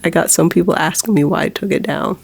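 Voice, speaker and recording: Woman, 40s, low monotone gravelly voice; halting, fighting back tears in a confessional vlog. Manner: halting, with nervous laughs. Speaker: vlogger.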